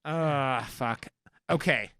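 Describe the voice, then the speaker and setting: Give this voice the feel of a podcast host in casual conversation, medium in pitch and recorded dry. The delivery is emphatic and rambling, with plenty of swearing thrown in. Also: extremely slow, a bit bright, smooth, no echo. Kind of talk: casual chat, movie discussion.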